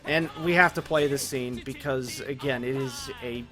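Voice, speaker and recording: A man's voice: mid-range voice, normal speed, pushed and bright, faint music behind. A man narrating a scripted video essay, opinionated and conversational.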